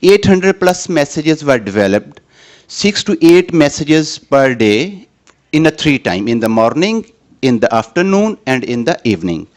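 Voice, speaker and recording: A man from Pakistan, giving a conference presentation in a hesitant, halting way with many restarts. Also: a little high, very animated.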